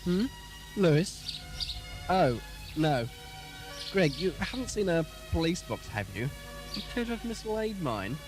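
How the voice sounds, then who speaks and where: A man's voice: high voice, sing-song, takes it slowly, long pauses, heavily acted. A man playing an eccentric, genial, absent-minded time traveller in an audio drama, speaking in a hesitant, whimsical way. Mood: vague, friendly. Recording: 1980s cassette, faint music behind.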